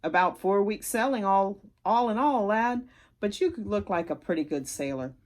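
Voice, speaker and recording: A woman's voice: mid-range voice, lively intonation, moderate pace, a little mellow, lightly acted. A woman voicing a hearty, kindly older English sea captain in a storybook reading. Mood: hearty, friendly.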